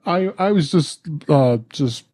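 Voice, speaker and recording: A man on a panel, speaking enthusiastically but haltingly, with many restarts and fillers. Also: fairly high pitch, lively intonation, darkish tone, dry recording, studio quality. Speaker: conference attendee, panel guest. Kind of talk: casual panel chat.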